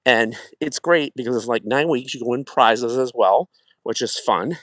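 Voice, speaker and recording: A man's voice: mid-range voice, moderate pace, no echo, clean studio sound. Chatty man livestreaming on a walk. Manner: chatty, reflective, greets viewers warmly by name. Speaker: wellness livestream host. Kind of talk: casual livestream talk while walking. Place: outdoor track at night.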